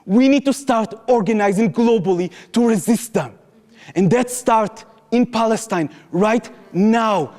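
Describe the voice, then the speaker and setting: A man's voice: high-pitched, a little slow, darkish tone, big-room sound. A young man with an Israeli accent, giving an earnest, impassioned public talk and telling a personal story to an audience. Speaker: activist speaker. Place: lecture hall.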